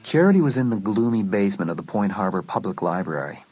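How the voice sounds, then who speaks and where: A man narrating a suspense story in a dramatic, scripted style, letting phrases drop to a hush. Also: fairly low pitch, sing-song, quick pace, soft background music. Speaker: audiobook narrator.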